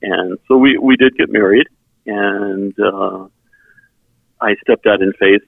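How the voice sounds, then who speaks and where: Phone-like low-voiced middle-aged man, native German speaker. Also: slow pace, vocal fry, no echo. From South Texas. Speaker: interview guest, member services volunteer. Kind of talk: reflective personal storytelling. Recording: tinny phone-like sound, band-limited.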